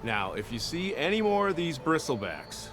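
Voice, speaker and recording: A man's voice: on the high side, big pitch swings, moderate pace, noisy background. A man playing a brash, good-humoured soldier in a video game, with a colloquial, wisecracking manner. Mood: wry. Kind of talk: video game cutscene acting.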